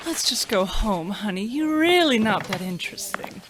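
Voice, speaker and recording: A woman playing a blunt, unimpressed, pill-dulled wife in a comic radio play, deadpan and belittling. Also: medium pitch, moderate pace, background noise, close to an everyday voice. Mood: weary, indifferent. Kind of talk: comic radio play acting in old radio show vein.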